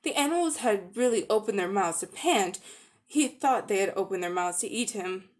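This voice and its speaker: A woman narrating a children's story as a dramatic reading, with expressive storytelling delivery. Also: mid-range voice, normal speed. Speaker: audiobook-style narrator.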